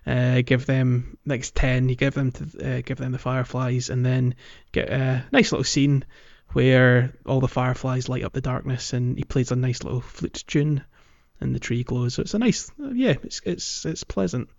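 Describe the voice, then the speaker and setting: A man co-hosting a podcast, chatting casually and explaining a video game playthrough in a halting way. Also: mid-range voice, fairly even intonation, creaky, a little mellow. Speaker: podcast co-host. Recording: dull lo-fi audio, music underneath. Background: background music.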